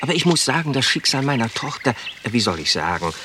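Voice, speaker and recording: Radio crime drama: man playing pompous middle-aged bureaucrat father, mid-pitched, very varied intonation, fussy and self-justifying, noisy recording. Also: sing-song, fast talker, lightly acted. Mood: defensive. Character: stuffy, status-proud middle-aged civil servant father. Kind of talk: German radio crime play dialogue.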